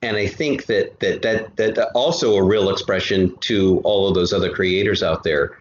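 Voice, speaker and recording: A man speaking as an interview guest, explaining things casually in a halting way with repeated words. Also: sits low. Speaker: guest, audio drama creator and musician. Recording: roomy, dull, noisy remote connection.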